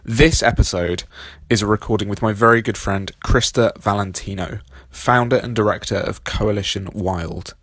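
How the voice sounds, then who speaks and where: A man hosting a podcast, husky from being sick, reading a scripted intro and carefully spelling out web addresses. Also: on the low side, no echo. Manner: steady, reads details slowly and spells them out. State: husky from illness. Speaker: podcast host.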